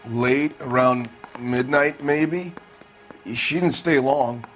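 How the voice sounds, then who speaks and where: A high-pitched, defensive murder suspect. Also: male voice, expressive, normal speed, fairly bright tone, soft background music. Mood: evasive. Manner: defensive, pleading. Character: defensive husband, a murder suspect under questioning.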